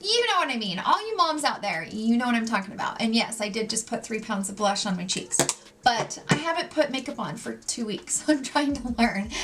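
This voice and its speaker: A woman in her forties chatting while she does her makeup at home, her voice pitched a touch high and swinging up and down a lot. She tells gossipy stories in a casual, animated way, sprinkling in plenty of "like"s. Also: normal speed, slight background noise. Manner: gossipy, animated storytelling with many "like"s. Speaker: beauty vlogger. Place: home, sitting at a makeup table.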